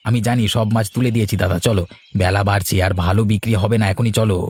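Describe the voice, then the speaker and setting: Male actor as busy fishmonger brother, village cartoon; rapid delivery, low register, eager temper. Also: steady tone, darkish tone, slightly rough, no echo. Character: eager village brother in the family fish trade. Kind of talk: Bengali cartoon dialogue.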